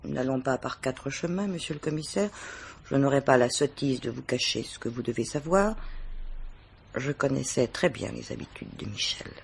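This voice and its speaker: An actress playing a worldly, composed middle-aged married mistress questioned by police in a French radio mystery, with measured, formal diction. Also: female voice, rumbling low, vocal fry, slight background noise. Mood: composed, frank.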